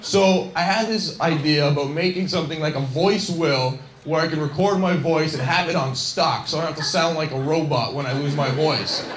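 A man's voice: on the high side, normal speed, hardly any pauses, slight background noise. A young man from Scarborough doing a self-deprecating, rambling stand-up set on a club stage with a handheld mic. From Scarborough, Canada. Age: young adult. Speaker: stand-up comedian. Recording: echoey club with loud laughing crowd. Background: audience laughter.

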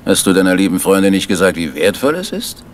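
A menacing crime boss in vintage radio drama style. His deep voice moves widely in pitch, mocking and bossy, with faint noise behind. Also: big pitch swings, quick pace. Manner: mocking, commanding. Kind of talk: 1970s German radio drama acting.

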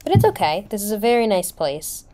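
A woman playing a kindly, reassuring schoolteacher with a gentle manner in an amateur roleplay. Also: medium pitch, wildly swooping pitch, a little fast, crowd noise. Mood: kind, soothing.

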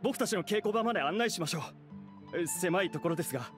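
Earnest, slightly high-voiced young troupe actor. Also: male voice, lively intonation, fast talker, music in the background. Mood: grateful, humble. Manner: courteous, earnest, clearly phrased. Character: polite, earnest young male theater troupe member. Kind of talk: Japanese anime game voice acting.

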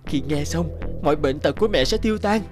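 A man's voice: a little high, expressive, fairly quick, music in the background, natural voice. An actor playing a devoted, polite young male violinist in a cartoon. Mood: earnest. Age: young adult. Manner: earnest, polite.

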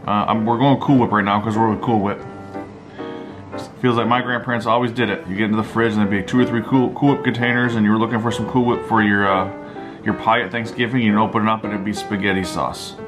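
An American man in his thirties chats casually as a helper on a home cooking video. His voice is low. Plucked guitar music plays clearly behind him. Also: steady tone, fast. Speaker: co-host helping in cooking vlog. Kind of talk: casual chat. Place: home kitchen, indoors.

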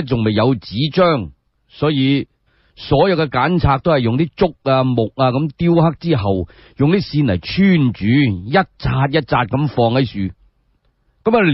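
A man with a Cantonese accent telling a radio story solo, in expressive, colloquial, explanatory narration. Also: medium pitch, slightly rough, no echo. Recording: slightly dull, lo-fi, dry sound.

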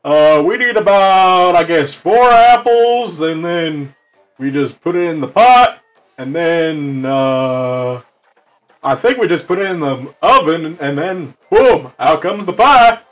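Man voicing a high-pitched, good-natured cartoon wolf. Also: lively intonation, takes it slowly. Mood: easygoing. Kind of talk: improvised cartoon roleplay. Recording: slightly dull lo-fi mic, music behind.

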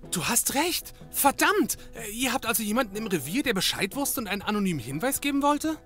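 Earnest young assistant gal, a bit flustered, higher voice, comedy audio drama. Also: female voice, sing-song, a little fast, a bit bright, soft background music. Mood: excited. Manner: eager, slightly flustered. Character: earnest, grateful young female detective's assistant.

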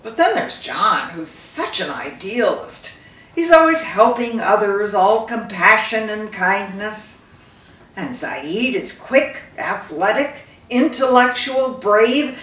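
A woman's voice: medium pitch, fairly bright tone. A woman voicing a dying old king, a fond and solemn father in a storybook, speaking emphatically. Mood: earnest. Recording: roomy echo, distant microphone.

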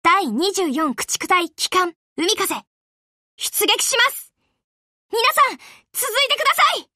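An actress voicing a gentle, courteous young warship girl who serves her admiral in an anime game, with a deferential, polite manner. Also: very high voice, very animated, takes it slowly, no room sound, studio quality, full character voice. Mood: resolute, commanding. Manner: soft-spoken, courteous, deferential. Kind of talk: Japanese anime game voice acting.

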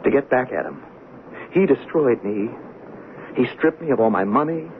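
An actor playing a defensive, bitter businessman in a 1970s radio mystery, speaking in a halting, confessional way. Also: mid-range voice, animated, phone-quality sound, close to an everyday voice. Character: defensive, bitter businessman, adulterous train commuter. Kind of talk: 1970s radio drama acting. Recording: thin, faintly noisy 1970s radio broadcast.

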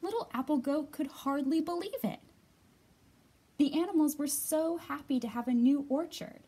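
A woman's voice: high-pitched, moderate pace, dark tone. A woman in her thirties hosting a children's storytime, reading and talking expressively to young listeners as a librarian. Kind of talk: picture book read-aloud and storytime talk for young children.

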